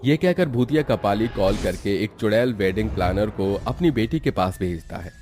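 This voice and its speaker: Low-pitched man narrating spooky stories. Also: animated, normal speed, a little mellow. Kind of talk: scripted horror cartoon story narration.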